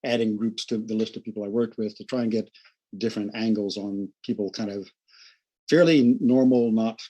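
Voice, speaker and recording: Male anthropologist panelist, mellow lowish voice, loose and chatty. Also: steady tone, normal speed, slight background noise. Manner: casual, rambling with fillers. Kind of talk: academic panel talk.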